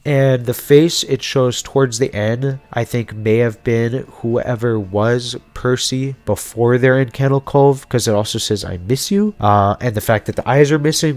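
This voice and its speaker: A man's voice: fairly low pitch, darkish tone. A man giving casual explainer commentary as a video host, talking through theories in an informal, speculative way. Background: background music.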